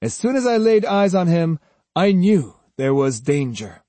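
A man's voice: a little high, big pitch swings, measured pace, warm tone, no room sound, pitched above the performer's own voice. A man voicing an arrogant, boastful young swordsman with a pompous, sneering and emphatic delivery in a storybook reading. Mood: snide, warning. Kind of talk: dramatised audiobook storytelling.

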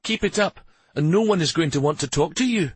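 Man voicing a sharp-tongued exasperated wife, pitched high. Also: lively intonation, a little slow, slightly rough, no echo. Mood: exasperated, scolding. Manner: scolding, blunt.

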